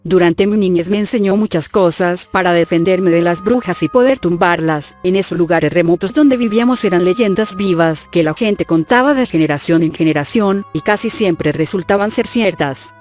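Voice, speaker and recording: A narrator giving a steady, measured reading of a scripted horror story. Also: mid-range voice. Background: faint music.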